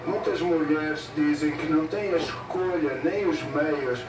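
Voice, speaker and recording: A man interprets a speech, working through it in short, halting phrases with gaps between them. He is picked up from well back in a reverberant hall. Loud music sits underneath his voice. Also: fairly high pitch. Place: event hall with an audience. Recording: echoey hall from far off, loud music behind.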